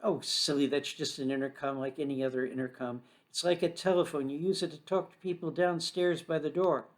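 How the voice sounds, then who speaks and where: Storybook role: man as bossy small girl, higher-pitched, dismissive, emphatic, always certain she is right. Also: steady tone, a little fast, natural voice. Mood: dismissive, insistent. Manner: scoffing, emphatic, insistent. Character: bossy know-it-all young girl, scornful and certain. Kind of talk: children's storybook read aloud.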